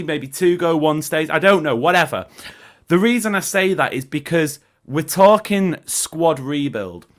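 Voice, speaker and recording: Bloke with a croaky throat rambling about football, mid pitch, kinda loose and chatty. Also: male voice, a bit bright. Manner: chatty, rambling with self-corrections. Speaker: football podcast host. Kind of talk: casual solo commentary.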